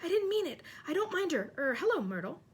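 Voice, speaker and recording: A woman voicing an anxious know-it-all schoolgirl, urgent and falsely bright as she placates, in a storybook. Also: sits high, wildly swooping pitch, normal speed, voice pushed up.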